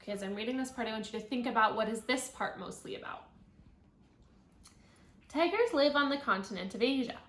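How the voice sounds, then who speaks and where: A woman teacher reading a children's nonfiction book aloud, thinking out loud and guiding young listeners as she goes. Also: a little high, fairly quick, pauses often. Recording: roomy echo, audible background noise.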